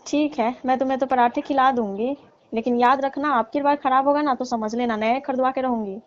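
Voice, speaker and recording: A woman playing a flirtatious, teasing married aunty in a comedy phone-call skit, talking coyly and complaining in a drawn-out way. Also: high voice, steady tone, close to an everyday voice. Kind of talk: staged intimate phone chat in colloquial Hindi. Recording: dull, lo-fi phone-call audio.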